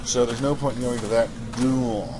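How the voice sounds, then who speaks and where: A man's voice: mid-range voice, steady tone, normal speed, background noise. A man hosting a let's play, reading in-game journals aloud and making sarcastic asides. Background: music.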